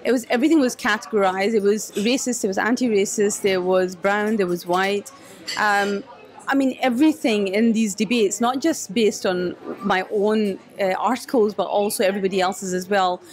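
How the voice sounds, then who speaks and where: A woman answering interview questions in a cafe, thoughtful and hesitant, with many restarts. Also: mid-range voice. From Scotland. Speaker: guest, photographer and activist.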